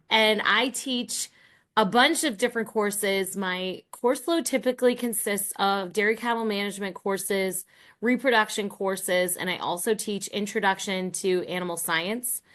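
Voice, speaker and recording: A woman's voice: medium pitch, fairly bright tone. A middle-aged American woman, a professor appearing as a guest, explaining her work conversationally. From Rhode Island and Massachusetts, United States. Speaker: guest, college professor and team coach.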